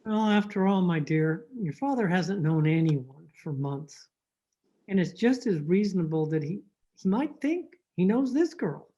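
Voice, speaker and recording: A dull, muffled recording of a husky male voice, an actor playing a mild husband in an old-time radio drama. He reasons calmly and reassures, in a very mellow tone. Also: high voice, measured pace. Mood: reassuring. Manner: calm, reasoning. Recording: dull, muffled home connection.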